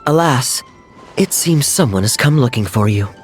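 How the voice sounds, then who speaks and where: An actor playing a suave, teasing adult male knight in a game, smooth and given to chuckling. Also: mid-range voice, lively intonation, moderate pace, faint music behind, close to an everyday voice. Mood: wry. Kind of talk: game cutscene voice acting.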